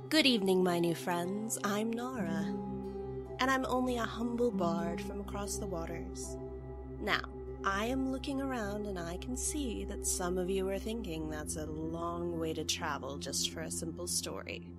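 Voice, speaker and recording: A woman's voice: medium pitch, moderate pace, lightly acted. A woman playing a poised, welcoming travelling bard from overseas, speaking theatrically in a fantasy audio drama. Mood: inviting. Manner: inviting, theatrical, knowing. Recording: loud music under the voice.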